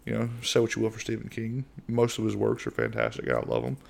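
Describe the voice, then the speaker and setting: A middle-aged man from the United States hosting a casual solo podcast in a monotone voice, rambling with lots of fillers and restarts. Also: lowish voice, quick pace, gravelly. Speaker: podcast host.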